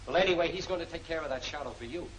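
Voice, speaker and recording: A man's voice: fairly high pitch, fast talker, close to an everyday voice. An actor playing a cocky gangster henchman in an old film serial, with slangy, chummy tough talk that carries menace. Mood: coaxing, menacing. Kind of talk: 1940s film serial acting. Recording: noisy old film soundtrack.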